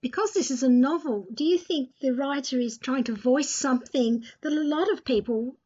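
Aussie woman radio host, high husky voice, reading and presenting, sound a bit dull. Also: moderate pace, warm tone. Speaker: radio host and story narrator. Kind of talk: scripted narration and presenting.

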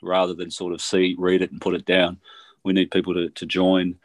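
Man, deep voice, monotone, urging, co-host. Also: a little fast. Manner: urging, appealing to listeners.

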